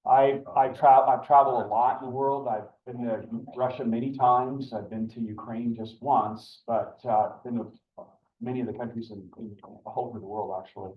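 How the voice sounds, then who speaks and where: A man's voice: medium pitch, steady tone, normal speed. An older man, a club member, introduces a guest speaker at a club meeting in an earnest, rambling way. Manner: rambling, earnest, with many fillers and restarts. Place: meeting room. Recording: echoey room, distant dull mic.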